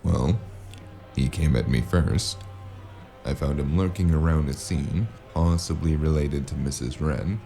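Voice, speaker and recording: A man playing a gruff, wary noir investigator in an audio drama, narrating and talking in a dry, hard-boiled, laconic way. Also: extremely low pitch, unhurried, dark tone, faint music behind, heavily acted.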